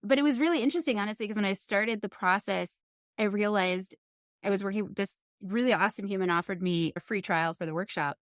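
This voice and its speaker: A middle-aged woman talks in a candid podcast conversation. Her pitch sits in an ordinary female range, and she thinks aloud earnestly with pauses. The sound is dry, with little room around her. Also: dry recording. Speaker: podcast host.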